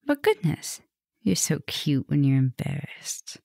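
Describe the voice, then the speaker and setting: A woman acts out a female vampire professor in an intimate ASMR roleplay, flirtatious and gently dominant. Her voice is low with plenty of creak, recorded very dry and close. She teases slowly and can slip into a whisper. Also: sing-song, no echo, exaggerated voice. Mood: teasing, flirty. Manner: intimate, teasing, drops into whispers. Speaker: roleplay audio performer.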